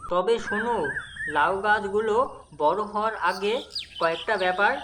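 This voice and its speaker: A woman voicing a patient husband in a cartoon story, advising in measured, instructive short phrases. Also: medium pitch, background noise, lightly acted. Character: husband patiently advising his two rival wives. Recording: music behind the voice.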